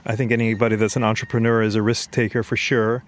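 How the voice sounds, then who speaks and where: A man's voice: fairly low pitch, flat delivery, fast talker. Earnest male podcast host. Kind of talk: scripted intro then interview.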